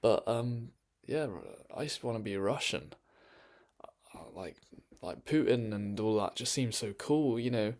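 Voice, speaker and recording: Guy on his first stream in his bedroom, rambly and umming a lot, lowish voice. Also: lively intonation, unhurried, darkish tone. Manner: rambling, lots of ums and restarts. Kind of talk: casual live-stream chat.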